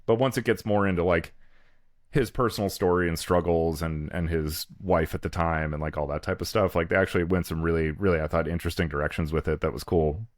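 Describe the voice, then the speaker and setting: A man's voice: sits low, fairly even intonation, brisk, no room sound, studio quality. A man chatting casually about films as a podcast co-host, in a rambling way full of filler phrases. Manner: rambling, peppered with "like" and "you know".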